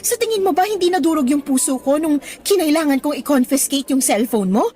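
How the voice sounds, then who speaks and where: A woman's voice: high voice, animated, fairly quick, warm tone, slight background noise. A woman with a Filipino accent playing a stern, hurt female schoolteacher in a radio drama, scolding emphatically and mixing in English. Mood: hurt, disappointed. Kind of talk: Tagalog radio drama acting.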